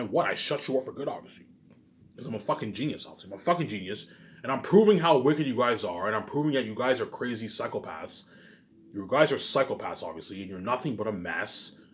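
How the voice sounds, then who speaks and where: Male vlogger, medium pitch with big swings; rambling, accusatory rant, repetitive and profane. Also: a little hiss or hum. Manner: emphatic and repetitive, punctuated with muttered tag words. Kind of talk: rambling, ranting monologue addressed to viewers.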